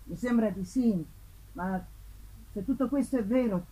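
Woman as a tormented young gentleman prisoner, halting. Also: fairly high pitch, slightly rough, low-quality recording. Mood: anguished, despairing. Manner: halting, anguished. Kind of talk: dramatic literary reading. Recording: live reading over ambient noise.

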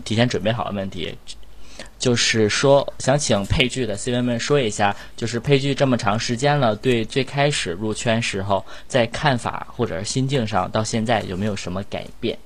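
A man's voice: fairly low pitch, moderate pace. A man hosting a casual cast chat, bantering and asking questions in a jokey, teasing way. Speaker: host of a voice-actor cast chat.

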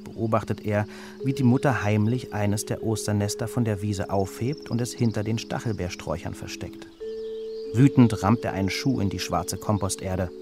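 A man reading a children's story as its narrator, with an expressive storytelling delivery. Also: lowish voice.

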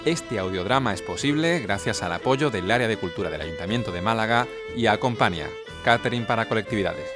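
Male announcer, slightly low, animated scripted read over a clear music bed. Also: a little fast. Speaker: credits announcer. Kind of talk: scripted narration.

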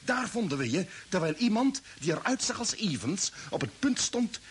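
Earnest spaceship boss in old radio play, animated delivery, bit of tape hiss. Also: male voice, high-pitched, moderate pace. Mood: grave, explaining. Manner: decisive, earnest, explanatory. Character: resolute male space commander on a Mars expedition. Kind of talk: mid-20th-century Dutch radio play acting. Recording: hissy old radio drama recording.

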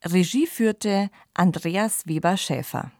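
A woman's voice: fairly low pitch, slow pace, darkish tone, dry recording, clean studio sound. A woman hosting a podcast, giving conversational, reflective commentary and explaining things. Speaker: podcast host.

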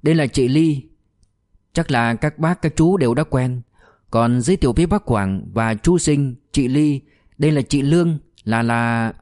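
An officious, polite government deputy in an audiobook, read by a male narrator, formal in manner. The voice is mellow, mid-pitched and recorded very dry. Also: measured pace, no echo, lightly acted. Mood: eager, polite. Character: eager, officious male deputy director of a provincial department.

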